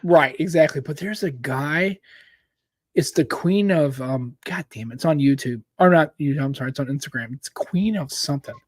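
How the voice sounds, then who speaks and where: A man in his late forties, a podcast host chatting casually in a rambling, animated way and calling his co-host "dude.". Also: on the high side, moderate pace, dry recording, clean studio sound. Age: 40s. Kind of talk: casual chat.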